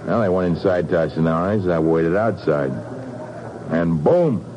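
A man's voice: low voice, measured pace, darkish tone. An actor playing a cocky, hard-boiled construction man in a 1950s radio drama, breezy and full of slang. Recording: muffled, noisy 1950s radio recording.

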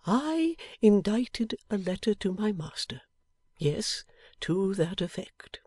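A woman voicing a gentle, dignified, weary old man in an audiobook, with a courteous, deliberate manner. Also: mid-range voice, very animated, husky, no room sound, pitched above the performer's own voice, exaggerated voice. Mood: apologetic, gentle. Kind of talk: dramatised audiobook character reading.

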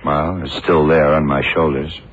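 Male investigator, radio crime drama: extremely low, calm, dry, probing; dull, hissy vintage recording. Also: moderate pace. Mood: measured, probing. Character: calm, wry, deep-voiced lead investigator.

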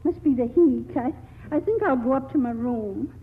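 Older housewife, fretful, soft-hearted, hesitant, breathy voice, narrow vintage radio sound. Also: female voice, sits high, normal speed. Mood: distressed. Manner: flustered, hesitant. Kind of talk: 1940s radio acting. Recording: tinny, narrow old radio broadcast.

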